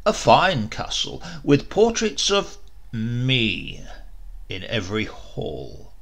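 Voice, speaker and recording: A booming, jovial knight prince mocks a bragging bird in a comic storybook, played by a man. His voice is gravelly and bright, and the teasing lines rise and fall widely. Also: huge pitch swings, slow pace, full character voice.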